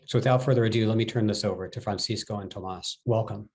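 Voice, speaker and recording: A man in a measured way introducing speakers and moderating a webinar over a video call. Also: on the low side, steady tone, a little mellow, a little hiss or hum. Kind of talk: formal introduction.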